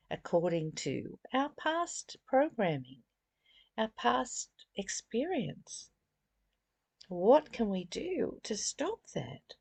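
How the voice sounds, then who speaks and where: A woman giving a reflective spiritual lesson, reading and explaining in deliberate, emphatic phrases with pauses and repetition. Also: mid-range voice, darkish tone, dry recording. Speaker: spiritual study teacher.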